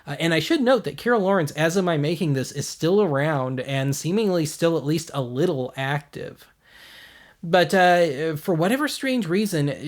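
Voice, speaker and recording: Man, American accent, slightly high voice, casual host commentary, wry, blunt. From United States. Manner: wry, blunt, self-deprecating. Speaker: host of a video series. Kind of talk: casual commentary on archival video.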